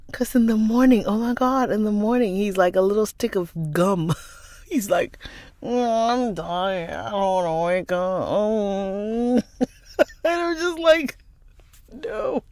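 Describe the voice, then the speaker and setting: A woman with a stuffy, nasal voice from a cold, chatting warmly with livestream viewers and calling them darling, then reading a novel aloud. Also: fairly high pitch, no room sound. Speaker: livestream book reader.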